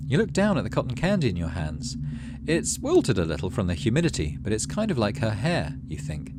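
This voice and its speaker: Low-voiced man with very animated delivery. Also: fairly quick, background noise. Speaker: gaming channel host. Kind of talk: game playthrough, narrating story text aloud.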